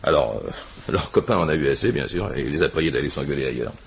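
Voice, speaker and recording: A man's voice: rumbling low, lively intonation, quick pace, creaky, slight background noise, exaggerated voice. An actor playing a businesslike police inspector who reports to his boss in colloquial French, in a 1950s-style radio mystery. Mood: matter-of-fact.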